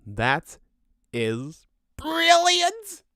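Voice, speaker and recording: A man playing a cheeky, excitable ghost in an audio drama, chatty and exclamatory in his delivery. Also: very high-pitched, very slow, no room sound, clean studio sound, full character voice. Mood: thrilled. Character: Sonny, excitable, cheeky male ghost, matey and mischievous.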